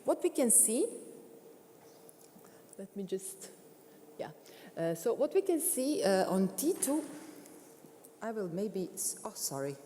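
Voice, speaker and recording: A woman delivers a conference talk on medical imaging in a big hall, her voice ringing with long reverb. Her tone is mellow and her pitch moves a lot. She pauses on frequent fillers and restarts as she walks through her slides. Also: a little hiss or hum. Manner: frequent fillers and self-corrections while explaining slides. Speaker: conference speaker. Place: large concert hall.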